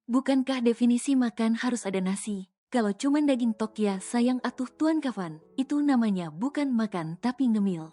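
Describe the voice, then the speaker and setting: A woman's voice: on the high side, animated, moderate pace, no echo. A woman voicing a spirited young wife who talks in a chatty, teasing, colloquial way. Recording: soft music underneath.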